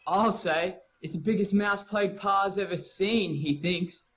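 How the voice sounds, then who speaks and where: A cheeky Australian schoolboy with a notably high voice. He is talkative, eager and teasing, in the style of a nostalgic radio play. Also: unhurried, fairly bright tone. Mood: eager, chatty. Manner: chatty, teasing.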